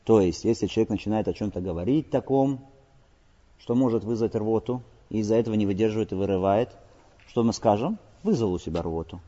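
A man's voice: fairly low pitch, fairly quick, dark tone. A man giving an Islamic lesson as a teacher, explaining didactically with rhetorical questions and Arabic terms mixed into his Russian. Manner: didactic, asks rhetorical questions and answers them. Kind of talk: religious lesson, explaining hadith line by line. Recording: dull lo-fi audio in reverberant hall.

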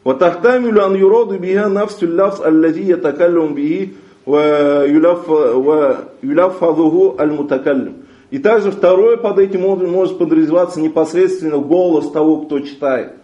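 Male religious teacher, reads a text and explains it line by line, mellow voice, slightly dull lo-fi sound. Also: fairly high pitch, moderate pace, reverberant. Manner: reads a passage aloud, then translates phrase by phrase. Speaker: Islamic teacher.

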